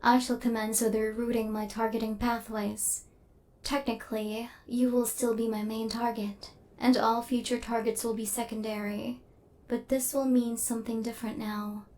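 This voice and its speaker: A woman voicing a coldly logical but tenderly possessive female killer robot in an ASMR roleplay, with formal, deadpan, machine-like phrasing. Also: on the high side, moderate pace, close to an everyday voice. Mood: calm, protective.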